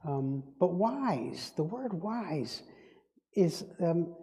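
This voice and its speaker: A man's voice: fairly high pitch, big pitch swings, very slow, dark tone. Man, guest speaker at a spiritual gathering, musing, repeats himself, long hall reverb. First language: Dutch. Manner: reflective, musing, circles back on words. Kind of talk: spiritual talk.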